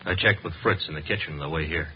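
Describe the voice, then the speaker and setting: A man's voice: rumbling low, fast talker. An actor playing a cocky, wisecracking detective's legman with a flippant, wry delivery in a 1950s radio drama. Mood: wry, battered. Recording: muffled, hissy vintage radio broadcast.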